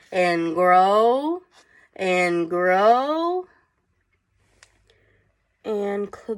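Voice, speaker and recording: A woman teacher reading aloud to children, with chatty asides and questions for her young listeners. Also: mid-range voice.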